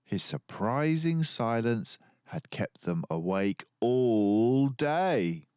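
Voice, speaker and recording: A man reads a children's story in an animated, theatrical way. His delivery is unhurried, with a pitch that moves around a great deal. The sound is dry, with very little room. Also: clear, resonant tone, no echo. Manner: animated, acts out dialogue. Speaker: children's book reader. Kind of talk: expressive storybook read-aloud.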